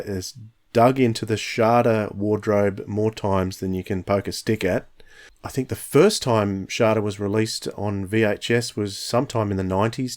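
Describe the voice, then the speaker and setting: A low-pitched man hosts a podcast, asking questions and filling in details in a relaxed, chatty way. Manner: inquisitive, asks leading questions. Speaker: podcast host. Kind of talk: casual chat.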